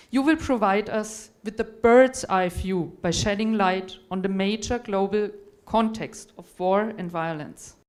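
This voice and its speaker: A woman's voice: medium pitch, a little mellow. A woman from Europe moderating a conference panel, giving formal, courteous introductions of the speakers. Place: conference hall.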